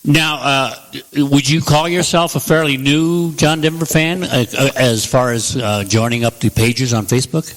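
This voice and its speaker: A man's voice: mid-range voice, moderate pace, a bit bright, rough recording. A man hosting a radio interview show, asking questions in a friendly, encouraging way. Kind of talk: casual interview chat.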